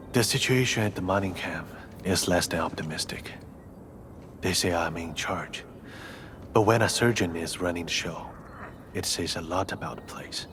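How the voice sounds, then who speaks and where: An actor playing a weary, dry, self-deprecating field surgeon in a video game. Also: male voice, low voice, unhurried, long pauses. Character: weary, frank male field surgeon in charge. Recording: clear music behind the voice.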